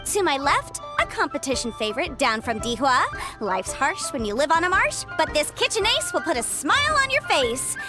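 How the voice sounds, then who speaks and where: A woman's voice: high-pitched, very animated, normal speed, loud music behind the voice. A woman playing a young female official in a video game, announcing a contest in a formal, showy, polished way. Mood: showy, upbeat. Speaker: host and officiator.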